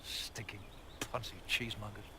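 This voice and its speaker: An actor playing a blunt, fed-up, foul-mouthed mercenary in a fantasy video game, gruffly informal. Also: male voice, on the low side, little pitch movement, moderate pace, pushed and bright, a little hiss or hum.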